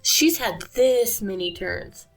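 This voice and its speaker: A woman's voice: mid-range voice, animated, slow, soft background music. A woman voicing an easygoing, jokey dog father from a cartoon storybook. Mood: playful.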